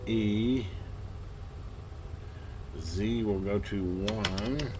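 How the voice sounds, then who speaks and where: A man giving casual hands-on commentary as a hobbyist host, halting and muttering to himself as he works. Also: fairly low pitch, noisy background. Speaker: vintage radio hobbyist hosting a repair video.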